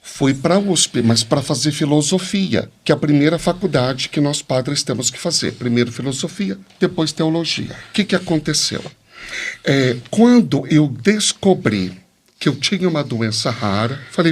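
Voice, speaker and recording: Higher-voiced Brazilian man telling his story. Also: expressive, moderate pace, husky. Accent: Brazilian Portuguese. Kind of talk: personal storytelling in a podcast interview.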